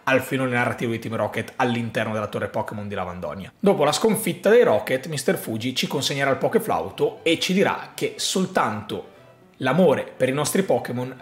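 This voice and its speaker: A man, giving an expressive, emphatic explanatory commentary to viewers as a video host. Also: medium pitch. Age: 30s. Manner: expressive, emphatic, with rhetorical questions. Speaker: video host, gaming content creator. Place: small indoor room set up as a gaming studio. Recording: roomy sound with faint music underneath. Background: faint music.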